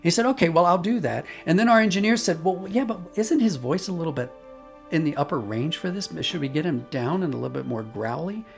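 A man's voice: fairly high pitch, expressive, fairly quick, vocal fry, soft background music. A man being interviewed as an audio drama producer, explaining conversationally and telling anecdotes with quoted dialogue.

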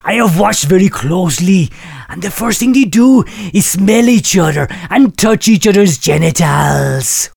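Man with a lively, swooping voice. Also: sits high, creaky, slightly rough. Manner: drawn-out, preacherly delivery breaking into laughter. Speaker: podcast host reading listener letters. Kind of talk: mock-solemn comic letter reading.

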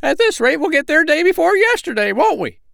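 Squeaky, excitable little sandpiper chick. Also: male voice, brisk, gravelly, dry recording, clean studio sound, full character voice. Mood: indignant. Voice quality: high, squeaky put-on voice. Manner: exclamatory and childlike. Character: excitable, squabbling young sandpiper chicks, hungry and cheeky. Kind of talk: storybook character voice.

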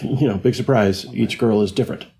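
Easygoing man with a mellow voice. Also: on the low side, fairly quick, creaky, a little hiss or hum. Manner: dry, easygoing humor. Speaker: podcast co-host. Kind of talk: casual chat.

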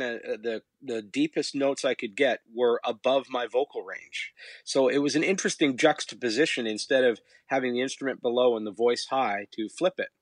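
Low-voiced man telling stories, dry close sound. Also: dry recording. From Canada. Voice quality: fairly low voice. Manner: reflective, storytelling, with hesitant uh's. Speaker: musician guest. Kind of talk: relaxed interview answers.

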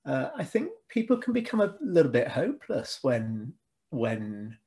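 A man, speaking as a guest on a conversational podcast. He explains his thinking earnestly, with plenty of ums and restarted sentences. His voice sits at a middle pitch for a man. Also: very animated, unhurried, warm tone. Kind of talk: casual interview chat.

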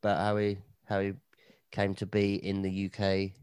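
A man's voice: sits low, monotone, normal speed, darkish tone, clean tone, dry recording. A man, co-hosting a podcast in casual chat, dry and joking, a bit hungover. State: slightly hungover. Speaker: podcast co-host.

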